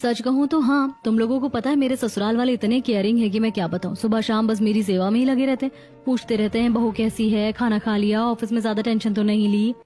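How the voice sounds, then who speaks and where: Woman playing a high-voiced contented young daughter-in-law. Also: fairly even intonation, fast talker, dark tone, soft background music, natural voice. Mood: proud, affectionate. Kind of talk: acted Hindi family story dialogue.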